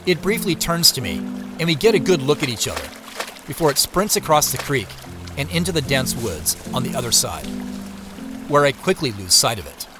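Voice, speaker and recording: A man narrating an audio drama as a reflective searcher, measured, descriptive and understated. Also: mid-range voice, big pitch swings, over music, close to an everyday voice. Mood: observant, measured. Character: reflective first-person narrator describing his woodland search.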